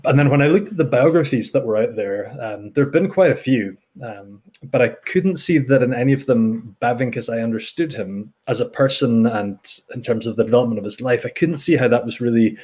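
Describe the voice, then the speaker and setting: A man's voice: mid-range voice, fairly quick, hardly any pauses, a little mellow, husky. A middle-aged Scottish man answering interview questions in a thoughtful, explanatory way, with frequent ums and restarts. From Scotland. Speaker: guest theologian.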